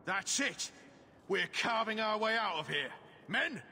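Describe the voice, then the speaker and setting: An actor playing an angry, belligerent rebel in a video game, shouting and spoiling for a fight. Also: male voice, sits high, lively intonation, unhurried, creaky, long reverb tail, slight background noise, exaggerated voice. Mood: furious.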